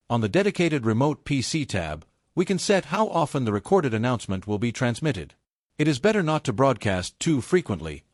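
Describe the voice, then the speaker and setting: A man reading a scripted technical tutorial step by step in a measured, methodical way. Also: mid-range voice, no echo, clean studio sound. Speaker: tutorial presenter.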